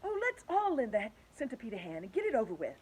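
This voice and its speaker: A woman's voice: on the high side, huge pitch swings, quick pace, darkish tone, slightly rough, voice pushed up, exaggerated voice. A woman voicing a polite, refined female ladybug in a storybook.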